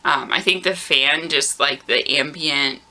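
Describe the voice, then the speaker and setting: A woman in her thirties hosting a knitting video podcast in a casual, chatty way with lots of ums. She has a very low voice that sounds tired and groggy, recorded on a camera mic in a warm room at home. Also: unhurried. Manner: relaxed and chatty, frequent ums. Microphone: camera microphone.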